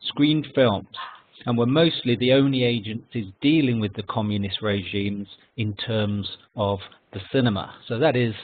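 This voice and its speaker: A man's voice: fairly low pitch, no room sound. Formal male lecturer on a phone-like line. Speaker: editorial consultant giving a lecture. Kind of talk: scripted history lecture with slides. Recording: narrow phone-like online call audio.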